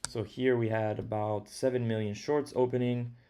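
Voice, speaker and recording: A man's voice: medium pitch, little pitch movement, moderate pace, mellow, clean tone. A young man with an Italian accent hosting a live trading stream from home, talking casually and thinking aloud with fillers. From Italy. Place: his home.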